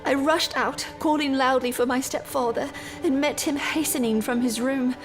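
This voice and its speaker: Actress as a troubled young gentlewoman, music behind. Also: sits high, fairly even intonation, close to an everyday voice. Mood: anguished, dramatic. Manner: measured, formal, earnest storytelling. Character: troubled young gentlewoman recounting her sister's death. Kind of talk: audio drama acting, dramatic narration.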